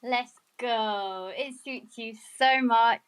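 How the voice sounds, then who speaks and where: Upbeat woman host with a brightish high voice, chatty and welcoming on a live audio stream. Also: slow, smooth. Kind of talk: casual live audio chat. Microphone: remote audio stream.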